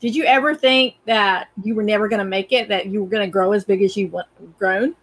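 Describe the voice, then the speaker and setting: A woman's voice: medium pitch, fairly quick, a bit bright. A woman hosting a podcast, asking short friendly questions in a casual interview. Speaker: podcast host.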